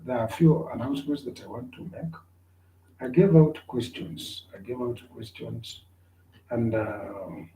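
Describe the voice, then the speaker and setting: A man's voice: medium pitch, reverberant, muffled recording, a little hiss or hum. A man giving an online class lecture, repeating himself often and checking whether his students can hear him. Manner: repetitive, checks on listeners.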